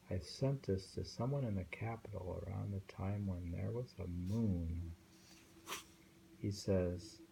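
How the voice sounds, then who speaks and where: Slow, gravelly man reading poetry. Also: lowish voice, mellow, slight background noise, poor audio. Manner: slow, musing, with thoughtful hums. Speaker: reader of translated poetry. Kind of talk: reading poems aloud with casual commentary.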